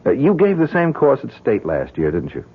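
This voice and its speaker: An American actor playing an earnest, suspicious young English instructor in an old-time radio drama, speaking in a polite, hesitant, probing way. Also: male voice, medium pitch, huge pitch swings, brisk, husky, narrow, tinny audio, heavily acted. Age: young adult. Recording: thin, band-limited vintage radio recording.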